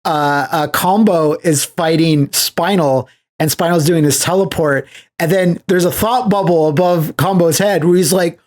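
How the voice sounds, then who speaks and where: A man's voice: fairly high pitch, unhurried. A man co-hosting a podcast in a casual, jokey, conversational way. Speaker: podcast co-host. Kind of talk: casual chat.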